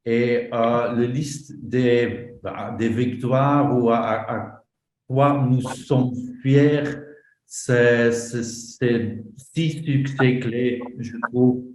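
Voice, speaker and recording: Flemish man presenting his group at a conference; slow, halting delivery in a roomy hall. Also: medium pitch, steady tone, a little mellow. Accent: Flemish Dutch. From northern Belgium. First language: Dutch. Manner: halting, many fillers and restarts. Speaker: association representative. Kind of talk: conference talk.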